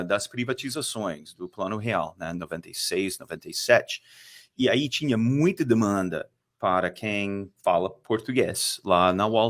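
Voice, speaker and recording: Middle-aged texan guy, fairly low voice, american accent, lots of uh's and restarts, chatty guest. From Texas, USA. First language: English. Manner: halting, many fillers, laughs easily. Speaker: guest, fund manager. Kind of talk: casual podcast chat.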